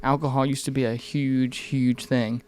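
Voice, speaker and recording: A man's voice: medium pitch, level tone, moderate pace, a little mellow, smooth. A man in his mid twenties chatting candidly on a podcast in an informal, rambling, earnest way, with some swearing. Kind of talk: casual, candid podcast conversation.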